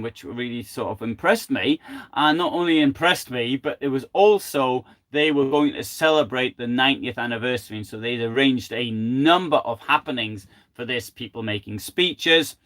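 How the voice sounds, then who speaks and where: A man's voice: medium pitch, normal speed, fairly bright tone. An older man giving a live, unscripted history talk from home, thinking aloud with many fillers and restarts. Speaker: history presenter.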